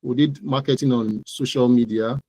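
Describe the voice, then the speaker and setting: A Nigerian man speaks as an interview guest about his work. His voice sits at a middling pitch in a dry recording, and he stresses points by repeating his phrases. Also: steady tone, moderate pace, dark tone, no room sound, poor audio. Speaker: interview guest, digital marketing entrepreneur. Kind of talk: conversational interview about own business.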